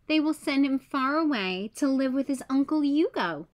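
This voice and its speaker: Lady with a high voice doing a kids read-aloud, expressive storybook style. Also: normal speed. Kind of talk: children's picture book read-aloud.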